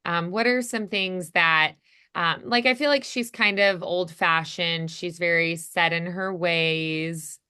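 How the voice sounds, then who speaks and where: A woman's voice: on the low side, steady tone, slow, sharp tone, smooth. A woman coaching voice acting in a casual, encouraging, conversational way. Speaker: voice acting teacher.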